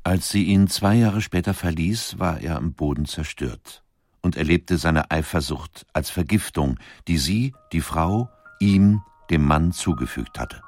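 A man narrates a documentary from a script in a low voice. His delivery is measured and articulate, recorded close and dry over soft music. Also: no echo.

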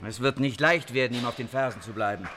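Actor as earnest investigator, medium-pitched. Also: male voice, fast talker, pushed and bright, a little hiss or hum, close to an everyday voice. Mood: serious, focused. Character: earnest police investigator on a mafia manhunt. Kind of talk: radio crime drama acting.

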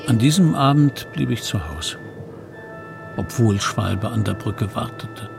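An actor playing a grown man looking back on his boyhood, narrating a radio play in a reflective, measured way. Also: fairly low pitch, lively intonation, vocal fry, music in the background.

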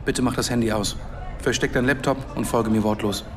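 A man playing a proud, secretive, anxious filmmaker in a German radio drama, speaking in a deliberate, insistent way. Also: mid-range voice, fairly even intonation, a crowd in the background, natural voice. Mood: hushed urgency. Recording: noisy outdoor street ambience with traffic.

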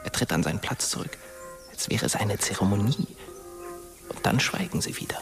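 An actor playing a dead teenage boy who narrates a German radio drama as a wry, wistful ghost in a hushed, measured way. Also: on the low side, animated, creaky. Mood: wistful, observant. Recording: music under the voice.

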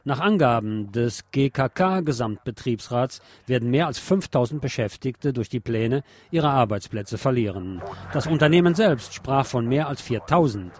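A man presents the news on a radio show. He reads reports in a mid-pitched voice and slips in sardonic, opinionated asides between items. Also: lively intonation, normal speed, hardly any pauses, a little mellow. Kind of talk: news reading with opinionated commentary.

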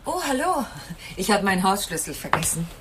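An actress playing a polite, composed wife in a light conversational manner in a German radio crime drama. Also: female voice, mid-range voice, big pitch swings, a little fast, background noise, close to an everyday voice.

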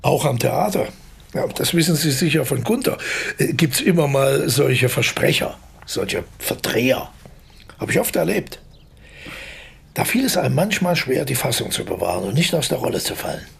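Husky, animated old stage veteran. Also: male voice, mid-range voice, moderate pace, full character voice. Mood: jovial, reminiscing. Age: older. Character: older former stage actor, genial theatrical anecdote-teller. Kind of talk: naturalistic radio drama dialogue.

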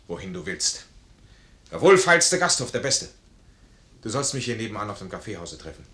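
An actor playing a proud, impoverished army major in a 1950s German radio play, formal, courteous and commanding. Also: male voice, fairly low pitch, sing-song, moderate pace, bright, forceful tone. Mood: resolute. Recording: roomy 1950s radio studio recording.

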